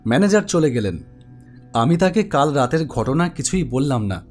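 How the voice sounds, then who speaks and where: Male actor as office-worker narrator for animated horror tale, slightly high voice, suspenseful storyteller, music underneath. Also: moderate pace. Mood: reflective narrating. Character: male office web developer narrating his ghost story. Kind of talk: scripted first-person horror story narration. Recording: music behind the voice.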